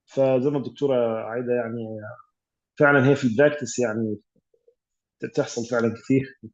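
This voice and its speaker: A man's voice: medium pitch, fairly even intonation, fast talker, muffled recording. An Egyptian man tutoring radiology cases over an online call, explaining step by step with many fillers and English medical terms. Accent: Egyptian Arabic. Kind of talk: online exam revision teaching.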